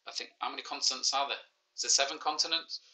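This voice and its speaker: A man in his forties with a clear Manchester accent hosts a live maths quiz for children. His voice is bright and forceful, and he talks in easy, joking banter, often teasing himself. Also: medium pitch, fairly even intonation, fast, poor audio. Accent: Mancunian. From Manchester, England. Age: 40s. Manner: joking, self-mocking banter. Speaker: teacher hosting a live quiz. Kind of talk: casual livestreamed lesson.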